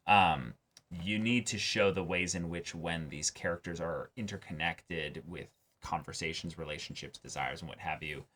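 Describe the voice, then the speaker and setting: A young man co-hosting a casual anime discussion, talking in a halting way with repeated words. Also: low-pitched, fairly bright tone. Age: young adult. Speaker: co-host. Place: small indoor room set up for filming.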